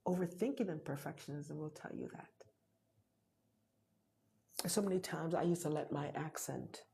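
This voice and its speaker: A woman's voice: low-pitched, moderate pace, gravelly, warm tone, a little hiss or hum. A middle-aged woman with a Jamaican accent hosting a motivational live talk, urgent and repetitive, dropping to whispers for emphasis.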